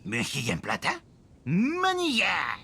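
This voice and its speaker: Primitive game goblin: gruff, gravelly gibberish, wildly swooping, noisy backdrop. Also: medium pitch, wildly swooping pitch, measured pace, full character voice. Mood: grunting. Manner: primitive, short guttural exclamations. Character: gruff masked goblin-like monster grunting gibberish. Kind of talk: game creature voice.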